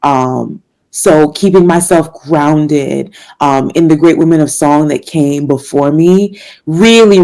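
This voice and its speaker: A woman's voice: low-pitched, warm tone, rough recording. A young woman from Washington, D.C., a jazz singer answering interview questions in an earnest, faith-filled way, with fillers and laughs. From Washington, D.C., United States. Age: young adult.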